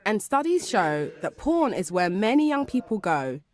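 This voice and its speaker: A woman's voice: medium pitch, very animated, moderate pace. A woman presenting a documentary, moving between scripted narration and interview questions.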